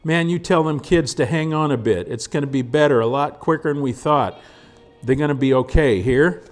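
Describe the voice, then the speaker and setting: A man voicing a gruff, plain-spoken frontier scout with an in a storybook reading. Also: a little high, moderate pace, voice pushed up, natural voice. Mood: urging. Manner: folksy, gritty, plain-spoken.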